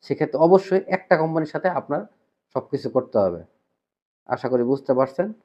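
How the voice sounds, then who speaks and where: A man's voice: mid-range voice, lo-fi sound. A man with a Bangladeshi accent explains things to customers as a company representative, conversational and repetitive, mixing in English words. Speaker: shop representative presenting to customers. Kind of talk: informal explainer to camera.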